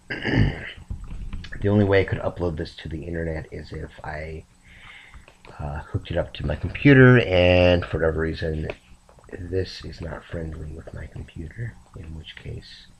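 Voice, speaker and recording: A man sick and rambling softly to his camera late at night in a raspy, half-whispered voice. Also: sits low, a little hiss or hum, rough recording. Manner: slow, meandering, soft-spoken. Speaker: vlogger.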